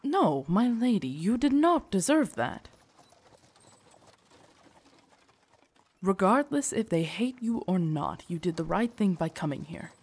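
A woman playing a loyal, protective female knight in an audio drama, formal, deferential and earnest, with a husky voice. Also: lowish voice, animated, moderate pace. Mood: startled, urgent. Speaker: voice actor in audio roleplay. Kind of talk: acted immersive audio drama monologue.

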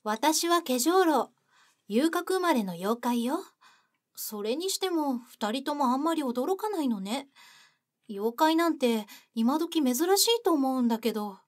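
A woman voicing a gentle, big-sisterly courtesan yokai with soothing, polite speech in an anime-style voice drama. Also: high-pitched, lively intonation, measured pace. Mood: gentle, welcoming.

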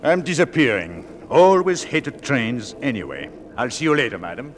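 An actor playing the gruff, jealous ghost of a sea captain, blustery and scornful in period radio drama style. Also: male voice, medium pitch, very animated, moderate pace, close to an everyday voice. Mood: jealous, sulky.

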